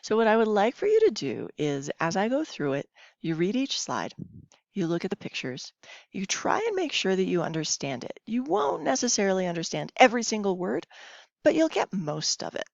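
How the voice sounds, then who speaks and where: A woman's voice: medium pitch, wildly swooping pitch, normal speed, gravelly. A woman teacher giving a recorded lesson, reading slides aloud in an instructive way with short check-in questions. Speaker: Spanish teacher. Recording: dull lo-fi, roomy home recording.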